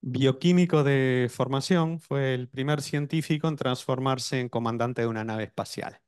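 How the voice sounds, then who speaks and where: A middle-aged man presenting an event over a video call, pausing often with fillers and restarts. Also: mid-range voice, clean tone, no room sound, studio quality. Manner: hesitant, with many fillers and restarts. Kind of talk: formal event introduction.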